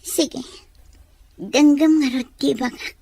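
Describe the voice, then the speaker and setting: Young female student, torn over love, high-pitched, Ilocano accent, close dry radio drama sound woman actor. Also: takes it slowly, mellow, no room sound. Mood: hesitant, sad. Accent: Ilocano Filipino. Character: troubled young female student ending a romance.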